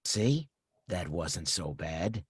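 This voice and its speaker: A man playing a menacing killer in a slasher film table read, taunting his victim in a sinister, deliberate, husky way. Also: deep voice, sing-song, dry recording, studio quality, exaggerated voice. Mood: mocking.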